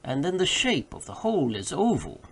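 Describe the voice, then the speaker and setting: A man voicing a proud, eager, easily ruffled gentleman naturalist with emphatic, formal diction in a story reading. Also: on the high side, very animated, brisk, slightly rough. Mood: surprised, musing.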